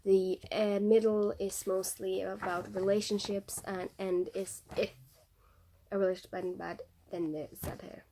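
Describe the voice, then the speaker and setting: A woman's voice: medium pitch, flat delivery, dark tone, poor audio. A young Norwegian woman talks casually in English, her second language, with a Norwegian accent. She reads passages from a book aloud, stumbling and restarting often. A faint hiss of noise sits under the voice. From Norway. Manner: halting, many fillers and restarts. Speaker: vlogger.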